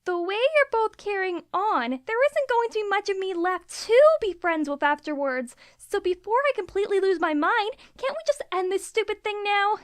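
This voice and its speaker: A woman's voice: very high voice, lively intonation, moderate pace, hardly any pauses. A woman voicing an exasperated, battered female unicorn in a cartoon-style fan audio drama, weary but earnest. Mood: fed up.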